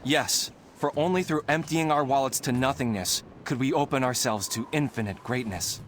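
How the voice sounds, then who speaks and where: A man's voice: mid-range voice, moderate pace, a little hiss or hum, close to an everyday voice. A man voicing a devoted, overeager young martial arts disciple in a video game, earnest and grandiose. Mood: reverent, proud. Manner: grandiose, theatrical, fawning. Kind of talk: video game character acting.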